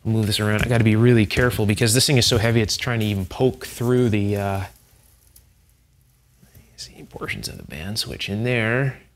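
A man hosting a hands-on electronics teardown, explaining things in an unhurried, methodical, conversational way. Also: on the low side, animated. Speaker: host, electronics restorer and teacher. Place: indoor electronics workshop, at the bench.

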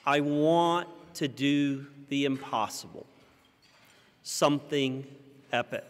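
An American man in his fifties giving a prepared conference keynote at a podium, with measured, rhetorical delivery and deliberate pauses. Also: mid-range voice. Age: 50s. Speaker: keynote speaker. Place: large conference hall stage, indoors. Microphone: podium microphone. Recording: reverberant hall sound.

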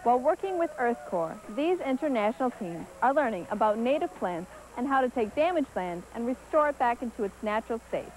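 A woman's voice: a little high, very animated, brisk, few pauses, a little mellow, slight background noise, poor audio. A young woman reporting and narrating a teen news feature in a chatty, explanatory tone.

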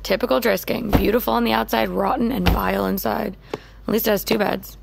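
A young woman in a naturalistic audio drama. Her voice is heavily creaky and sits at a middling pitch. She is guarded and wry, over a noisy ambient bed. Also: steady tone, brisk. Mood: wry, teasing.

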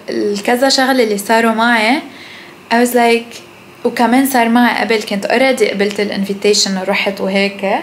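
Casting: young Lebanese woman vlogger, higher-pitched voice, casual and blunt, switches into English, home recording with noticeable background noise. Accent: Lebanese Arabic. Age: young adult. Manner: mixes English phrases into Arabic; blunt, swears freely. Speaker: food vlogger. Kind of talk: casual chat to camera. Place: indoors at home, kitchen and living room.

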